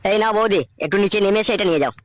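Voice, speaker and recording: A man's voice: high-pitched, racing, lightly acted. A man voicing a crude, swaggering neighbourhood thug in a comic cartoon dub, speaking. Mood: smooth swagger. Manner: bullying, crude slangy street talk.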